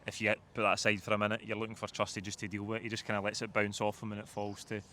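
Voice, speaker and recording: A man's voice: lowish voice, completely flat delivery, racing, sharp tone. A Scottish man hosting a post-match football fan chat, giving his view and putting questions to others. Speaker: host of football fan show. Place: outdoors outside a football stadium. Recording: outdoor ambient noise behind.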